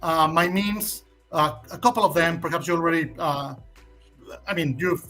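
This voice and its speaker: A Mexican man with a Spanish accent answers as a guest on a livestream, thinking aloud with plenty of fillers. His voice sits a bit high for a man and has a bright, forceful edge. Faint music plays behind him. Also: normal speed. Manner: opinionated, hesitant with frequent fillers. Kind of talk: casual stream Q&A.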